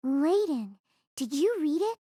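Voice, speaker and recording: A woman's voice: sits high, big pitch swings, measured pace, mellow, no room sound, clean studio sound, voice pushed up. A woman voicing a chatty, carefree teenage schoolgirl with a teasing, breezy manner.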